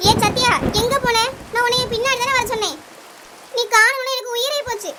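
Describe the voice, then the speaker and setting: A woman playing a worried village mother who talks fast and urgently in an amateur audio drama. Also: very high voice, steady tone, pushed and bright. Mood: scolding, soothing. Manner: urgent, anxious, fast-talking. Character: worried village mother, warm elder sister figure.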